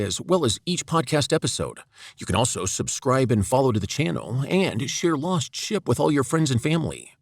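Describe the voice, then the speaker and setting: A man's voice: mid-range voice, no room sound. A man hosting a podcast, reading a scripted announcement in a polished, promotional manner. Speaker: podcast performer and producer, host.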